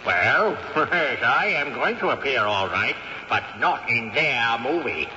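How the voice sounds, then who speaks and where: A man's voice: medium pitch, sing-song, slow, pushed and bright, exaggerated voice. An actor in a vintage radio drama playing an indignant, shrewd old great-grandfather ghost, with haughty, theatrical, formal diction. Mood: indignant, scheming. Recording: dull, noisy old radio, echoey hall.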